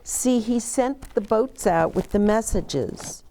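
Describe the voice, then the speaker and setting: An older woman reading a children's storybook aloud expressively, with deliberate, dramatic phrasing and pauses. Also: fairly high pitch, expressive, creaky, mellow, rough recording. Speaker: children's story reader and host. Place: small decorated set, indoors, seated.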